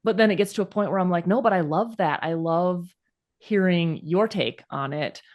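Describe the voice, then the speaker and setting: A woman author hosts a relaxed conversation. She talks with open enthusiasm and often drops in quick "yes" and "yeah" as she agrees. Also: lowish voice. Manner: warm, enthusiastic, full of affirming "yes" and "yeah". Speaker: author and show host. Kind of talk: casual interview chat.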